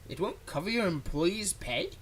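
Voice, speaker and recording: A performer acting out a dramatic supervillain whose medium-pitched voice swings widely between threat and soothing. The villain is theatrical and exasperated, with a soft heart under the menace, in an audio roleplay with noticeable background noise. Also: animated, normal speed, lightly acted. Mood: uneasy, guilty. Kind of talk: ASMR audio roleplay, one-sided dialogue to the listener.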